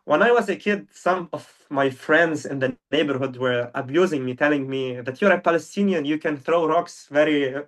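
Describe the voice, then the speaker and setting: A young man born in Lebanon, a non-native English speaker, talking earnestly as an interview guest, halting with many fillers. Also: on the high side, recorded from a distance. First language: Arabic. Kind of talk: casual interview talk. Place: now living in Germany.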